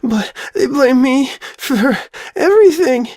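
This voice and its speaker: A man's voice: very high voice, animated, slow pace, darkish tone, no echo, studio quality, voice pushed up, heavily acted. A man voicing a distraught, needy, self-pitying teenage girl in a story reading, jittery and faltering. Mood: wailing, tearful. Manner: stammering, wailing.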